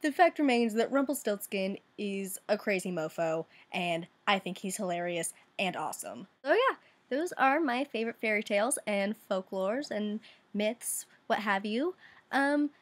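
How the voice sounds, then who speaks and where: A woman's voice: medium pitch, big pitch swings, unhurried. A woman vlogger telling stories in a casual, chatty style, with wry asides and informal slang.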